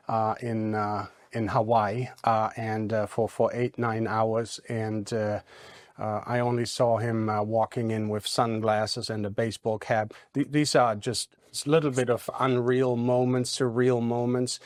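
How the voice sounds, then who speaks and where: A man from Germany with a German accent, a guest attorney in a studio interview who talks haltingly with many fillers and restarts. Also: medium pitch, a little mellow, no echo.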